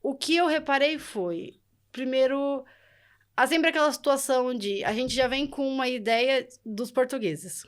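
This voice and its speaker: A woman's voice: high-pitched, a little slow, clear, resonant tone. A young woman with a Brazilian accent telling her experiences casually and chattily as a podcast guest. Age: young adult. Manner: chatty, informal, often laughing. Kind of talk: casual conversational storytelling.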